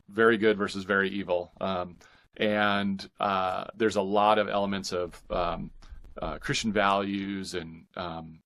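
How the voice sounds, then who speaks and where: A man speaking as a guest author in a conversational interview, haltingly, thinking his answers through as he goes. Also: on the low side, a bit bright.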